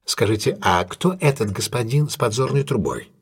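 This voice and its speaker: An actor playing a shrewd, ironic gentleman detective in an audio drama, with a husky, measured, commanding delivery. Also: mid-range voice, expressive, lightly acted. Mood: intrigued. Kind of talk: Russian audio drama acting.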